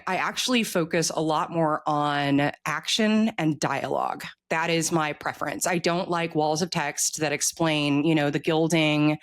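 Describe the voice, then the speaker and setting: Casual, opinionated author in interview. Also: medium pitch.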